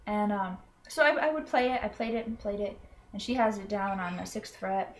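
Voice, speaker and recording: A woman's voice: mid-range voice, moderate pace, a little hiss or hum. A young woman from Florida, a college student, earnestly explaining something in a hesitant way, with frequent ums and restarts. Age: young adult. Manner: earnest and self-conscious. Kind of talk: personal explanatory video.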